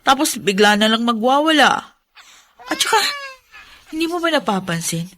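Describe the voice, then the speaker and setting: A Filipino woman acting a weary, fretful young mother in a radio drama, expressive and easily exasperated. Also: fairly high pitch, normal speed, noisy background. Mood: exasperated, anxious. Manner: expressive, exasperated when pressed.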